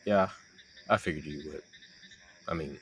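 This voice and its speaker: Noticeable background noise sits under a very low young male voice, acted by a man. He is a shy, teasing friend with a secret crush, speaking haltingly and intimately in a romantic audio roleplay. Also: a bit bright, exaggerated voice, rough recording. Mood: wistful, musing.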